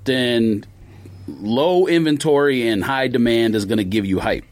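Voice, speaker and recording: A man's voice: medium pitch, unhurried. An American man on a podcast panel, debating casually in a blunt, argumentative manner.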